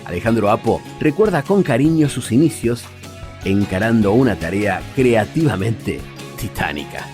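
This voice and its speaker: A man's voice: lowish voice, sing-song, moderate pace, a little mellow. A man with an Argentine accent reading scripted narration for a radio documentary. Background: guitar music.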